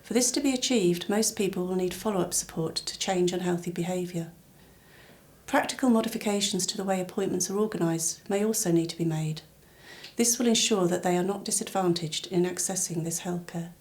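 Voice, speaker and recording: A woman reading formal, scripted instructional narration for a training film at a measured, even tempo. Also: lowish voice.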